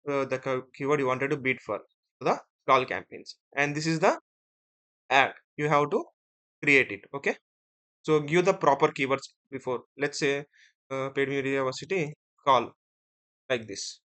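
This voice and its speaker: Man giving a step-by-step software tutorial. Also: medium pitch, normal speed. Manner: step-by-step, often ending phrases with "right?" and "okay". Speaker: instructor. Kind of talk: screen-share software tutorial.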